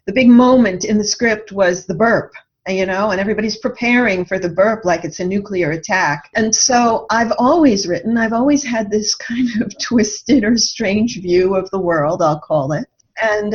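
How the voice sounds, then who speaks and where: An older woman speaks as an interview guest, reflective and expressive as she recounts her own experiences. Her pitch sits in a middle range for a woman. The recording is dull and band-limited. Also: moderate pace. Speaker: podcast guest, writer and radio drama producer. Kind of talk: conversational personal storytelling in an interview.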